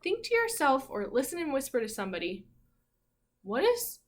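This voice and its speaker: A woman with a fairly high voice speaks as an elementary school teacher guiding a read-aloud. She puts animated emphasis into her delivery and often poses questions to young listeners, leaving room for answers. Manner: asks questions and pauses as if hearing answers. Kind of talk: interactive read-aloud lesson for young children.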